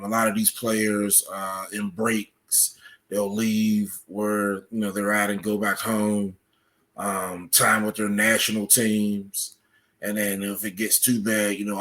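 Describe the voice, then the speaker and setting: A man with a fairly low voice speaks as an interview guest. He talks conversationally, laying out explanations and examples as he answers. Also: a bit bright. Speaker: podcast guest, article author.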